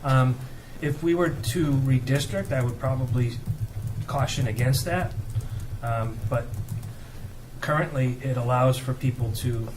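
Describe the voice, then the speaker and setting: A man school administrator giving measured, cautious answers at a public meeting. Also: medium pitch, background noise.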